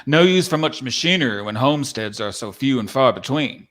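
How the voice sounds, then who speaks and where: A man's voice: medium pitch, animated, brisk, a bit bright, natural voice. A man playing a proud, folksy old-time cowboy spirit from a painting in a dramatic script reading, with boastful, rustic phrasing. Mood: folksy, curious.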